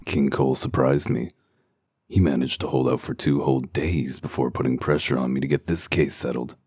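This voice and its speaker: Man playing a very deep, gruff wolf detective. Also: fairly even intonation, moderate pace, darkish tone, dry recording. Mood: dry, matter-of-fact. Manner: dry, sardonic, terse. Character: gruff, cynical wolf detective in human guise. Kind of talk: comic dub voice acting.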